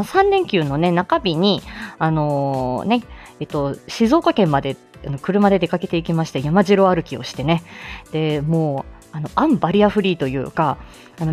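Giggly, lively woman hosting a chat. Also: deep voice. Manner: giggly, chatty, exclaiming. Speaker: live audio show host. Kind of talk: casual morning livestream chat.

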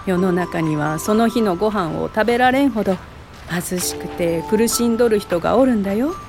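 An actress playing a kindly, pious aunt in a radio drama, patiently teaching in a folksy Aichi accent. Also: female voice, medium pitch, moderate pace, over music, lightly acted. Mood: tender, teaching. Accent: Aichi, central Japan.

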